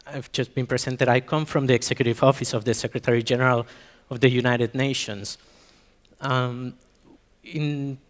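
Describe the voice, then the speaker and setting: A man from Mexico speaks at a conference in a mid-pitched voice, pausing often with ums and restarting his sentences. The sound carries a long reverb from the hall around him. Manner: hesitant, many ums and restarts. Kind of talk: conference talk.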